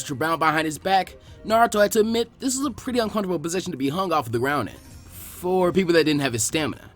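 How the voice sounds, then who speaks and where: A man reading fan fiction aloud as an expressive scripted narration. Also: on the high side.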